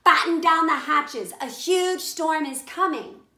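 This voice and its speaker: An earnest, well-mannered young rabbit who loves books, as a woman reads him aloud. The storybook voice is high and bright, reasoning politely, in an echoing room. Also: slow pace, heavily acted. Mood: urgent. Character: bookish, polite young male bunny, captain's son. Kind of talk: children's storybook read-aloud. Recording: roomy echo.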